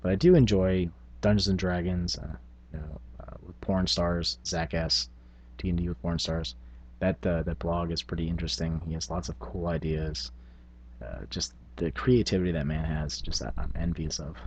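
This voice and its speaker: A man's voice: low-pitched, fairly even intonation, normal speed, a little hiss or hum. A middle-aged man talking informally and reflectively as a channel host, hesitating and thinking aloud as he goes. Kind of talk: casual reflective vlog on tabletop gaming.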